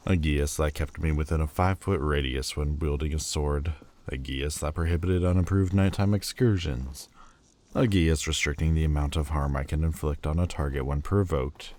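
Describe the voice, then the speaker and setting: A prickly, proud Lord of Demons, played by a man in a fantasy audio roleplay. His voice sits extremely low and he takes his time over every word. He sounds haughty and sardonic, with a grudging tenderness underneath. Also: dark tone. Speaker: voice actor.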